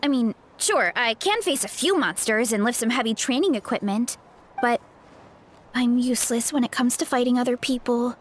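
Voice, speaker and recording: A woman's voice: fairly high pitch, normal speed, a little hiss or hum, lightly acted. A woman voicing a sweet, modest, self-doubting young fighter from a family orchard in a video game. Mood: bashful. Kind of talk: video game character acting.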